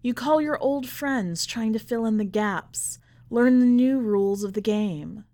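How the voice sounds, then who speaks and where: A woman reading a literary short story aloud in a measured, deliberate way. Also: on the high side, dark tone. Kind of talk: scripted literary narration.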